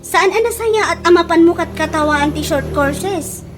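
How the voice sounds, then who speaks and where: A woman's voice: very high voice, normal speed, over music. A woman with an Ilocano Filipino accent playing a helpful, plain-spoken young caregiver trainee in a radio drama. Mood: gently reproving.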